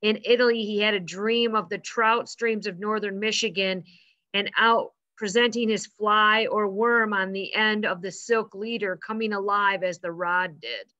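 Bright-voiced woman in discussion. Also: mid-range voice, fairly even intonation, unhurried. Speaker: book discussion participant. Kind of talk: discussion remarks and reading aloud. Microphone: video call. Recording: dull video call audio.

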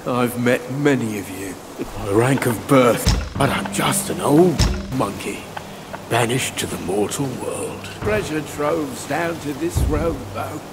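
A man's voice: medium pitch, animated, slow, pauses often. A man playing a jovial, sly old monkey trader in a video game, chatty and inviting, with music behind. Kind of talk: video game cutscene acting.

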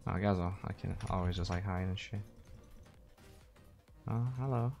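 A young man from Brazil, chatting casually while streaming a game, thanking viewers and thinking out loud. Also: sits low, normal speed, vocal fry. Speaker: streamer and caster. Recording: faint music behind, weak mic.

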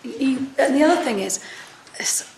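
A higher, gravelly female voice from a woman from Wales who grew up speaking Welsh. She talks frankly and bluntly, with laughing asides. Her voice rings with hall echo over a clear hiss. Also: expressive, moderate pace, a little mellow. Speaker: panelist, barrister. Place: large formal hall.

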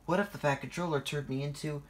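A vain locomotive, voiced by a man, fretting and complaining. The pitch creeps upward with self-pity, in a homemade storybook telling. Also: steady tone, quick pace, close to an everyday voice. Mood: anxious. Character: vain, fretful male steam engine.

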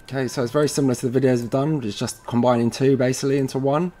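A man presenting an informal software tutorial, casually thinking aloud as he goes step by step. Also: mid-range voice, fairly even intonation, racing. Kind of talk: informal screen-recorded software walkthrough. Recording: noisy room with keyboard typing.

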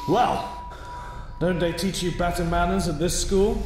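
A man's voice: high-pitched, unhurried, loud music behind the voice, low-quality recording. A man with a British accent playing a menacing, mock-polite schoolboy bully in a radio drama. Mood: taunting menace. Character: sneering, menacing upper-class schoolboy bully.

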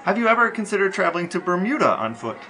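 Animated man co-hosting a podcast. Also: high-pitched, fast, faint music behind. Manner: enthusiastic storytelling, deadpan questions. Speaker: podcast co-host. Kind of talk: casual podcast chat.

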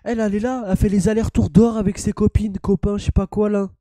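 A young man with a stuffy, cold-blocked voice chats loudly and jokingly while streaming a football game. His voice sits a bit high but sounds soft and mellow. The room gives a dry, close sound. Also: little pitch movement, quick pace, no echo. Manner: loud, joking, slangy banter. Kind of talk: casual gaming stream chat.

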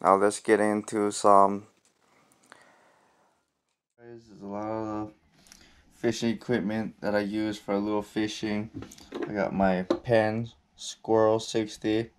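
A young man casually walking through his fishing gear at a table indoors, laid-back and slow, with frequent ums and restarts, picked up by an action camera. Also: fairly low pitch, level tone, clear, resonant tone. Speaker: fishing vlogger.

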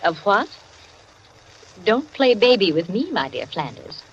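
Breezy, animated radio-drama society hostess. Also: female voice, medium pitch, a little slow, a little hiss or hum. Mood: teasing, confiding. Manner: breezy, theatrical, bossy. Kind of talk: old-time radio drama acting. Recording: dull old radio, music and party crowd.

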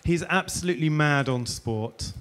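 A man's voice: fairly high pitch, moderate pace, a bit bright. Chatty man hosting on a conference stage. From England. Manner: chatty, self-deprecating, lots of ums. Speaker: interviewer and host. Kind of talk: onstage introduction and interview. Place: conference stage before an audience. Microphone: handheld mic.

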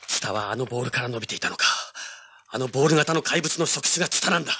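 A man's voice: medium pitch, animated, racing, heavy background noise, close to an everyday voice. An actor playing a cocky, hard-boiled young treasure hunter, telling his story as dramatic audio drama narration. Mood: tense narration. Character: brash, cool-headed young male treasure hunter, action hero. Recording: dramatic music under the voice. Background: dramatic background music.

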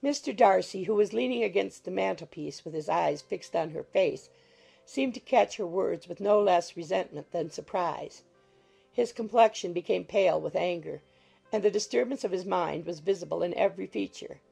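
Female narrator for a classic novel audiobook, lowish and mellow. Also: moderate pace, vocal fry, slightly rough, faint music behind. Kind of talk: scripted literary narration.

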